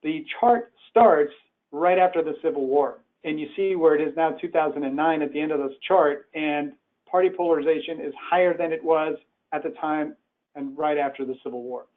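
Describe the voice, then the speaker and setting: A man's voice: fairly high pitch, normal speed. An earnest, conversational man born in Iran, giving a presentation from home over a call. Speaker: webinar speaker. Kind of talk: presentation talk. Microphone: remote call. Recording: tinny phone-like web call audio.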